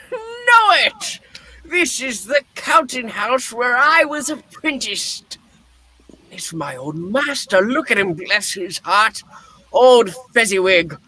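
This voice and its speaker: A performer reading the part of a frightened, wistful old miser in a theatrical play reading, with halting, dramatic delivery. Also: sits high, pushed and bright, no echo, heavily acted, rough recording. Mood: nostalgic delight.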